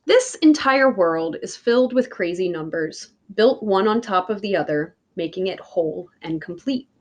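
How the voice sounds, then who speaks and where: A woman reading a picture book aloud like a teacher, with deliberate, emphatic phrasing for young listeners. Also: medium pitch, animated. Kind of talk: children's read-aloud.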